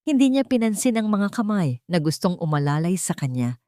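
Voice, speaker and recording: A woman's voice: fairly low pitch, sing-song, brisk, mellow, dry recording, clean studio sound. A woman reading a scripted love story as a dramatic narrator.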